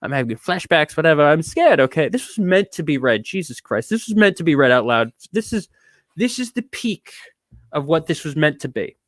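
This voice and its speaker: A man's voice: fairly high pitch, very animated, moderate pace, dry recording, studio quality. A drunk man hosting a casual livestream, reacting to what he reads aloud with amused, profane, unguarded asides. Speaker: livestream host.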